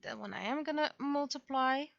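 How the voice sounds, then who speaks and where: Creaky-voiced woman thinking aloud on a livestream. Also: high-pitched, a bit bright, dull audio. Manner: muttering to herself in short, unhurried remarks. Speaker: livestreamer, digital artist. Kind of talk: casual livestream commentary, thinking aloud while drawing.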